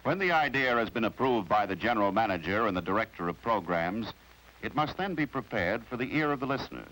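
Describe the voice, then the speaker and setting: A man with an American accent narrates a 1950s training film from a script in a formal, measured, explanatory way. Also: on the low side, animated, sharp tone, rough recording. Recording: vintage 1950s film soundtrack with music.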